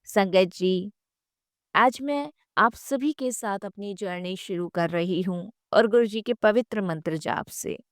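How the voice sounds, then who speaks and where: A woman's voice: medium pitch, slow pace, dry recording, studio quality. A woman reading scripted devotional narration in a reverent, earnest manner, often repeating phrases for emphasis.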